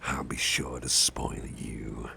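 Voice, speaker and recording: A man playing a doting, soft-hearted older boss in an ASMR roleplay, speaking gently and reassuringly in a gravelly, breathy half-whisper. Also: extremely low pitch, little pitch movement, slight background noise, heavily acted. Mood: caring, indulgent. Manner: slow, gentle, reassuring, rambling. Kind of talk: ASMR roleplay, softly spoken to the listener.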